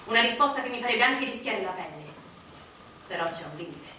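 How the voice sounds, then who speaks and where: A woman's voice: fairly high pitch, lively intonation, brisk, sharp tone, slight background noise. An actress playing a banker's mature widow in a theatrical interview, guarded, defiant and bitterly loyal to her dead husband. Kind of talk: theatrical stage interview dialogue. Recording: very echoey, distant, music under the voice.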